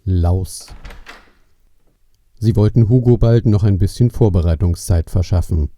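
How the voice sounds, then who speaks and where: A man's voice: deep voice, a little slow, background noise. A man narrating a scripted Christmas story for children as its storyteller.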